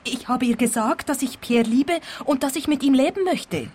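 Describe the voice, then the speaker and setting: A woman's voice: high-pitched, moderate pace, darkish tone, husky. A woman playing a lovelorn, wounded dancer in a radio play, speaking in an earnest, emotional way. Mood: confessing, hurt.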